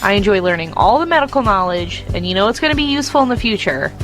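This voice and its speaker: A woman acting in an audio drama as a gentle, anxious, self-doubting medical trainee. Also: medium pitch, very animated, fast talker, soft background music. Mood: uncertain.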